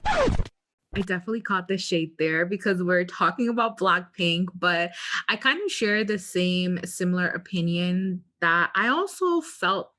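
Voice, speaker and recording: Low-pitched woman co-hosting a podcast. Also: bright, forceful tone. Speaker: podcast co-host. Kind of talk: casual opinionated podcast chat.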